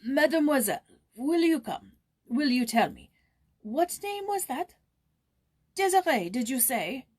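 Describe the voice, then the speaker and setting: A woman's voice: high-pitched, very animated, measured pace, long pauses, darkish tone, pitched above the performer's own voice. A woman voicing an agitated, bitter old man in a storybook, speaking in an intense, abrupt way. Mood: urgent.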